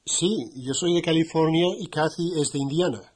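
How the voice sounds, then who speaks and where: A man playing a modest American sergeant who speaks Spanish in a language-course dialogue, slowly and clearly for learners. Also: on the high side, darkish tone. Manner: slow and clearly spoken for learners.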